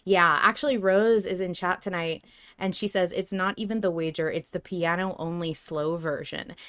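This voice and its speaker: Enthusiastic woman with a clear, lowish voice. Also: fairly even intonation, moderate pace, fairly bright tone. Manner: enthusiastic, emphatic. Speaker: podcast co-host. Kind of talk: casual fan discussion.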